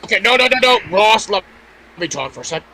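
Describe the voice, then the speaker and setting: A man's voice: sits high, sing-song, fast talker. A man in a casual panel chat, insistent and repeating himself to make his point. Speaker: podcast panelist.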